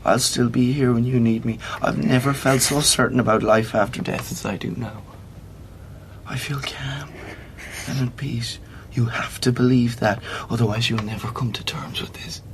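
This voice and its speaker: Actor playing a creaky-voiced dying man, tenderly calm. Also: mid-range voice, normal speed, noisy background, lightly acted. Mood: tender, reassuring. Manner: gentle, reassuring, wry. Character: terminally ill man, calm, tender, wryly at peace. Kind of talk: radio play acting, intimate dialogue.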